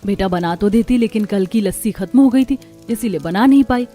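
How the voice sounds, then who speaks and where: A woman playing a gentle, caring young mother who talks soothingly in a cartoon story. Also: mid-range voice, brisk, close to an everyday voice. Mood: reassuring. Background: music.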